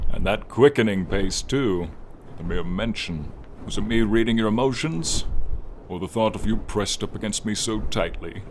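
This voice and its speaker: A man voicing a friendly, protective silver dragon in a scripted fantasy ASMR role play, speaking slowly with formal, tender address to the listener. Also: on the low side, lightly acted. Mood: teasing, affectionate. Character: friendly silver dragon, male, gentle protective old guardian. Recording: clear background ambience noise, light rain effect. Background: light rain and flying ambience.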